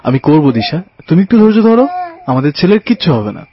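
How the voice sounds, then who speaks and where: A man's voice: a little high, big pitch swings, fast talker. An actor playing a caring young husband and father who reassures and soothes despite his worry, in an audio drama. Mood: worried, soothing. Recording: dull lo-fi audio, faint music.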